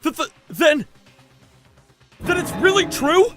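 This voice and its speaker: A man voicing a brash, excitable teenage schoolboy in an anime game fan dub. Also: extremely high pitch, slow pace, music in the background, full character voice. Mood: stunned.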